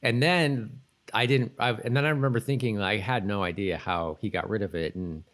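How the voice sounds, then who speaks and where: Low-pitched middle-aged man chatting, hesitant and rambling. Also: normal speed, fairly bright tone. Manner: hesitant, rambling, thinking aloud. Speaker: podcast co-host. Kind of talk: casual TV episode recap chat.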